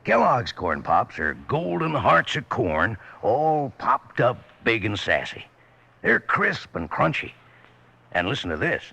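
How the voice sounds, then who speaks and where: An American actor playing a jovial, folksy old cowboy with a western drawl, pitching to youngsters in a vintage radio advertisement. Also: male voice, gravelly, bright, forceful tone, breathy edge, exaggerated voice. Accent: American Old West drawl. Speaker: show announcer. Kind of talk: 1950s radio cereal advertisement.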